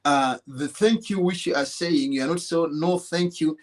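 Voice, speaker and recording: A middle-aged man with a Zimbabwean accent, talking earnestly in a relaxed conversation, often repeating words as he searches for them. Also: a little high, moderate pace. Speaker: podcast co-host. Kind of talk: relaxed conversational advice talk.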